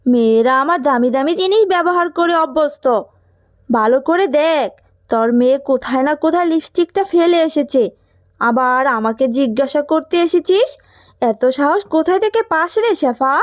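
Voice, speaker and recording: A woman voicing a haughty, quick-tempered rich animal mother in a children's cartoon, scolding with snobbish scorn. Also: sits high, lively intonation, no echo, studio quality. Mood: scornful.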